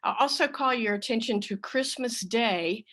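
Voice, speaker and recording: A woman's voice: fairly high pitch, normal speed, a bit bright. A woman leading a church service, giving informal spoken announcements to her congregation.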